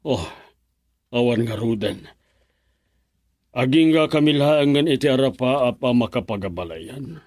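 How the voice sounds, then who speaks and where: A man's voice: medium pitch, lively intonation, a little slow, long pauses, darkish tone, no room sound, studio quality, natural voice. An actor with a northern Philippine accent playing a strict, fretful middle-aged father in a radio drama, grumbling and exclamatory. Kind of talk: Ilocano radio drama acting.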